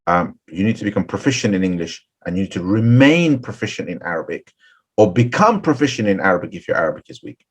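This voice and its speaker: A man's voice: low-pitched, very animated, quick pace, husky. A middle-aged man, an Islamic scholar, giving a webinar talk of advice to seminary graduates, earnest and full of restarts. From Bengali background, seminary-trained. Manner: earnest, repeats and restarts phrases, asks "right?". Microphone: webinar, computer mic.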